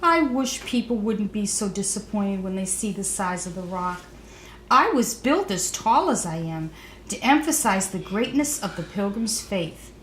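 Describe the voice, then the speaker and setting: A woman's voice: mid-range voice, lively intonation, unhurried, close to an everyday voice. A woman voicing a forlorn, aggrieved statue woman in a children's storybook, in a plaintive, emphatic way. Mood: earnest. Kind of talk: children's storybook read-aloud. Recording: roomy echo, faint background noise.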